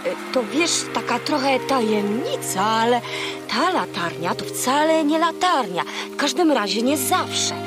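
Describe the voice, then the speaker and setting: A woman's voice: high-pitched, animated, a little slow, over music. A woman voicing a boastful, chatty, hungry female seagull in a children's audio play, haughty and dramatic. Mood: boastful storytelling.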